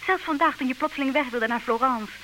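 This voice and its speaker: An actress playing a proud, disillusioned wife in an old radio play, speaking in measured, reproachful, theatrical diction. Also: female voice, sits high, sharp tone, low-quality recording. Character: disillusioned, proud wife leaving her clever husband. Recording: hissy old radio recording, clear noise.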